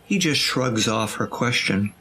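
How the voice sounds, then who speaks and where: A man's voice: lowish voice, measured pace, bright, forceful tone, a little hiss or hum. A man reading scripted present-tense narration for an audio drama.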